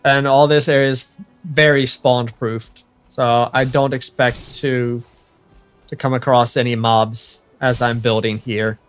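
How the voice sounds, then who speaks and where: A man's voice: medium pitch, level tone, a little slow, clear, resonant tone, soft background music. A young American man chatting casually to viewers while he livestreams a building game, rambling and correcting himself as he goes. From United States. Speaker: streamer.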